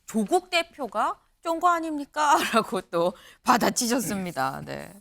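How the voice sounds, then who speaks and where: A woman's voice: fairly high pitch, lively intonation, a little slow, vocal fry, a bit bright. A woman news anchor hosting a morning news talk show, chatty and laughing with her guests. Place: TV studio.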